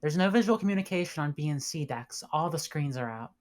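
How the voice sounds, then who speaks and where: A woman's voice: low voice, expressive, quick pace, a little mellow. A woman playing a blunt, practical spaceship officer in a sci-fi script table read. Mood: businesslike.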